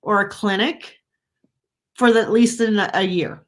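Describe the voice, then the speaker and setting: A woman's voice: medium pitch, fairly even intonation, normal speed. A woman hosting a podcast, chatting casually and agreeably with her guest. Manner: agreeable, chatty, often laughing along. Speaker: podcast host. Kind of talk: casual chat.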